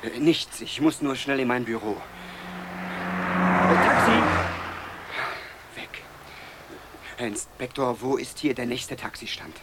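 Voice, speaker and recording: An actor in a 1970s radio drama playing a timid, anxious office clerk, hesitant and apologetic. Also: male voice, mid-range voice, very animated, slow, long pauses, fairly bright tone, slight background noise. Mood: flustered haste. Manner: hesitant, polite, stammering apologies. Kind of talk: 1970s German-language radio drama acting.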